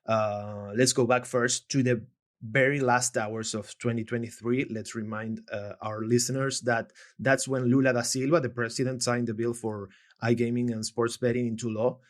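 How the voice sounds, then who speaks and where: Man hosting, halting and filler-heavy. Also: mid-range voice, flat delivery. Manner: hesitant, many fillers and restarts. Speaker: podcast host and journalist. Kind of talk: casual podcast interview.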